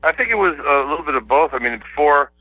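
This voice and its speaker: A man from the United States, a poet guest in a casual interview, talking in a reflective, hesitant way with slow pauses. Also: fairly high pitch, lively intonation. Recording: dry, dull lo-fi radio audio.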